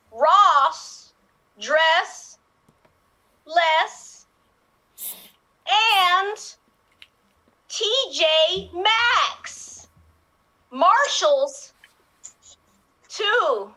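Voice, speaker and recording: A cheerful cartoon sea sponge, put on by a woman chirps out a list in a very high, bright voice. He drags each word out slowly, one at a time, with big leaps of pitch between them. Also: expressive, heavily acted, rough recording. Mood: chirpy. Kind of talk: improv game on a live stream.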